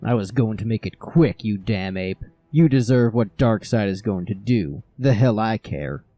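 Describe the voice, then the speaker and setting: A man plays a contemptuous, defeated warrior god in an audio drama. The voice is low and dark, gruff and sneering, recorded very dry. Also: expressive, measured pace, dry recording. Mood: angry, contemptuous.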